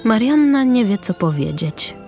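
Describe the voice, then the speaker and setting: A woman's voice: on the high side, very animated, a little slow, darkish tone. A woman narrator reading the scripted stage directions of a radio drama. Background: music.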